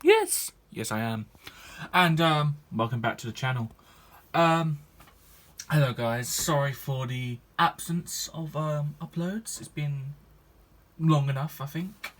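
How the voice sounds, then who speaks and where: Rambling young gaming vlogger. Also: male voice, a little high, slow pace, a bit bright. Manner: rambling, hesitant, many restarts and ums. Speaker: gaming YouTuber. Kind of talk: casual vlog chat to viewers.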